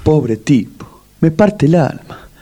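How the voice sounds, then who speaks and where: An actor playing a compassionate, soothing and greedy friend with an Argentine accent in a comedic radio play. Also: male voice, on the high side, sing-song, slow, very noisy. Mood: earnest, pitying. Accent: Argentine Rioplatense. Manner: pleading, sympathetic. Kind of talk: comedic radio theatre acting.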